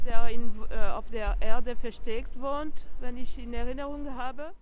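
A passer-by answering interview questions haltingly, searching for words. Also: mid-range voice, fairly even intonation. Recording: faint background noise.

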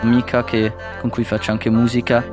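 A man's voice: mid-range voice, completely flat delivery, moderate pace, over music. A teenage man with a Swiss German accent and Italian as his first language, answering interview questions hesitantly. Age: teens. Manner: hesitant, with fillers and restarts. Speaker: young rapper interviewed.

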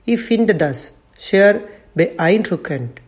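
A man from Sri Lanka, not a native German speaker, reading a personal statement slowly and with care. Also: sits high, expressive. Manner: slow, careful non-native delivery. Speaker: community member.

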